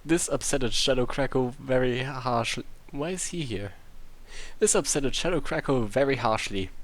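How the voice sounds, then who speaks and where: A man's voice: mid-range voice, moderate pace. Man giving a mocking dramatic reading. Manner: incredulous, sarcastic, breaks off to comment. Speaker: reader of an online fan story.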